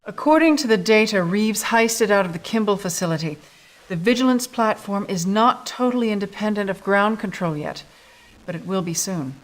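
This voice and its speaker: A woman's voice: medium pitch, moderate pace, soft background music, close to an everyday voice. A woman in her thirties playing a stern, businesslike resistance officer, giving a serious briefing in a video game cutscene. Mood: grave, urgent. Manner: serious, clipped briefing delivery.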